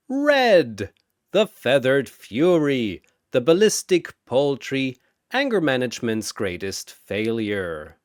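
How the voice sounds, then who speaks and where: A man voicing a bombastic cosmic arena announcer for a fantasy battle story, with theatrical, drawn-out delivery. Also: fairly high pitch, clear, resonant tone, no room sound, studio quality, exaggerated voice.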